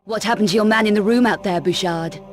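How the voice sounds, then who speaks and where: A woman's voice: mid-range voice, fast talker, soft background music, natural voice. A woman playing a brash, cool adventurer heroine in a video game, with a curt, sardonic and defiant manner. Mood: businesslike, guarded. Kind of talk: video game cut-scene acting.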